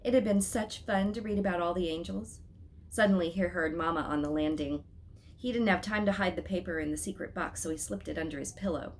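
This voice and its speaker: A woman reading a children's story aloud as an expressive storyteller, with dramatic, emphatic phrasing. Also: on the low side, a little fast, slight background noise.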